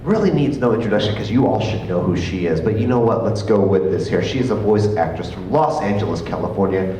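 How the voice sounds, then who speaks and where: A man moderating a convention panel, loud and playful, who says he has a very big voice. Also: lowish voice, quick pace, a little hiss or hum. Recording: very echoey hall, distant mic, crowd behind.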